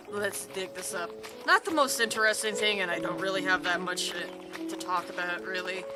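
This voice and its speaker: A woman streamer with a rough voice, chatting casually and rambling while she plays, swearing now and then, into a desk microphone. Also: mid-range voice. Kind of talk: casual live stream chat while gaming. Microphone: desk microphone with mute button. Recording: music playing under the voice. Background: background music.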